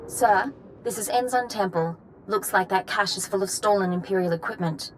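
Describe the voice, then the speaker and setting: Young female ensign for sci-fi game actress: brisk, composed, dryly wry, mid pitch, light background ambience. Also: a little hiss or hum, natural voice, low-quality recording. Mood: businesslike. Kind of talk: video game voice acting.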